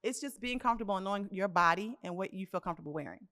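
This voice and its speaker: A woman's voice: medium pitch, expressive, very fast, sharp tone. A woman in her fifties co-hosting a podcast, chatting casually and animatedly, often laughing. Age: 50s. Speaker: podcast co-host. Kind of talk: casual chat. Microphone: studio mic.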